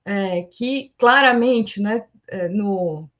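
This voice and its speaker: A woman's voice: mid-range voice, extremely slow, fairly bright tone. A Brazilian woman speaking as a forum panelist in an online academic presentation, explaining things and often adding small tags that seek agreement.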